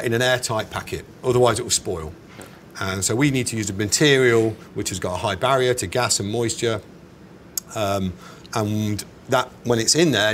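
A man's voice: medium pitch, a bit bright. A man in his forties with an English accent, chatting casually and correcting himself as he answers as an interviewed business founder. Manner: hesitant, chatty, self-correcting. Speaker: snack company founder being interviewed. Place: indoors in a bar. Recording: clear background ambience noise.

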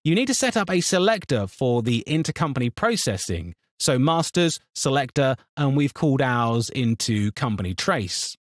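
A man narrating a scripted software tutorial in an instructional, step-by-step manner. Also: mid-range voice, big pitch swings, normal speed, few pauses, no room sound, clean studio sound.

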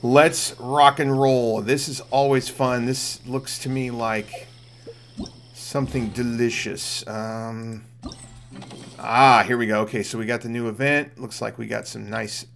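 A middle-aged man streams a game with a noticeably creaky, gravelly voice. He comments casually, thinking aloud as he goes and speaking informally to his viewers. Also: mid-range voice, steady tone, a little slow, a bit bright, slight background noise. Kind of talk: casual live gameplay commentary.

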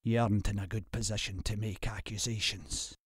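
A man's voice: fairly low pitch, level tone, fairly quick, breathy edge, dry recording, studio quality. Man acting a menacing, controlled rogue. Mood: quiet menace. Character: bold young thief disguised as monk, menacing, protective. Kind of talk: audiobook character acting.

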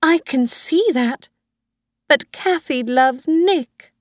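A woman voicing a frail, obsessive old grandmother who talks in a shrill, pleading way, read as an audiobook. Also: slow pace, no room sound, clean studio sound. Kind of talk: audiobook reading.

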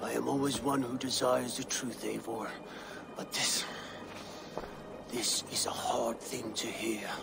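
An actor playing a solemn, grieving Viking chieftain in a game cutscene, grave and measured. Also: male voice, medium pitch, level tone, creaky. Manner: grave, measured, dropping to a hush. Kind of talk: video game cutscene acting. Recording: music under dialogue.

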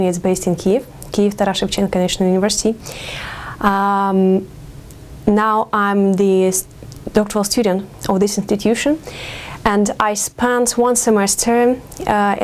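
A woman's voice: mid-range voice, steady tone, darkish tone. Ukrainian-accented young woman, thoughtful interview answers. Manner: thoughtful, many fillers and pauses. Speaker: doctoral exchange student. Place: studio with campus backdrop.

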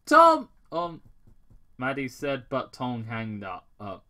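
British person reading fanfic out loud, slow, trips on words, pitch goes all over. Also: animated. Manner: halting, stumbling over words and correcting themselves. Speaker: fan fiction reader. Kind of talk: amateur read-aloud with ad-libs.

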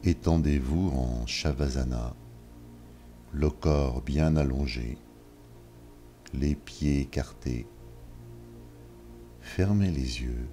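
A man leading a yoga nidra session, giving calm, measured instructions with long pauses. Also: very deep voice. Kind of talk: slow guided relaxation. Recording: soft music behind. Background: soft ambient music.

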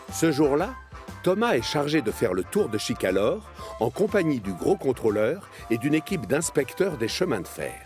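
Male children's story narrator over music. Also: a little high. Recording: music behind the voice.

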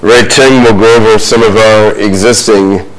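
A man's voice: on the low side, fairly even intonation, normal speed, low-quality recording. A man in his forties giving informal remarks as lecturer in a large classroom, often repeating words as he talks.

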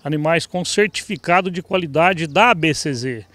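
A man's voice: a little high, normal speed, fairly bright tone, dry recording. A middle-aged man, a cattle breeder, earnestly answering an interview outdoors at a fair. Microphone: handheld mic.

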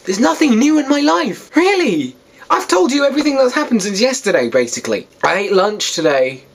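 A man's voice: very high-pitched, sing-song, a little fast, slight background noise. A seventeen-year-old man from Wales rambling casually to a webcam in his bedroom, in a self-deprecating way. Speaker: vlogger. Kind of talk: casual rambling vlog to camera. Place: small bedroom, indoors.

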